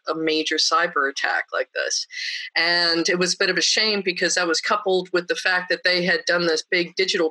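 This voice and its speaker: A woman with a somewhat deep voice tells her story as a podcast guest. Her tone is bright and forceful. She is lively and anecdotal, and she mimics the people she quotes. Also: poor audio. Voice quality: deeper voice.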